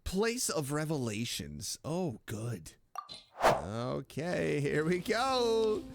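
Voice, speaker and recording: Casting: male game streamer, casual and expressive, unhurried delivery with lively pitch swings, talks to characters. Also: creaky, noisy background. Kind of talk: casual live gameplay commentary.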